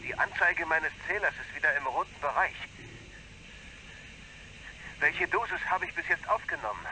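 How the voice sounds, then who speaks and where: Sharp, tense astronaut over radio. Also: male voice, a little high, moderate pace, a little hiss or hum, low-quality recording. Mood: anxious. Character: tense, anxious male astronaut on a spacewalk. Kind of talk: radio play dialogue.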